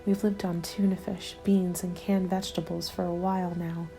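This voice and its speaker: A woman reads a scripted ASMR roleplay in a soft, breathy whisper with a creaky edge. Her delivery is hushed and very even, unfolding slowly and deliberately. Music plays clearly behind her. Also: fairly low pitch, rough recording. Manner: slow, hushed, deliberate storytelling. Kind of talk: ASMR scripted first-person story narration. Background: instrumental music.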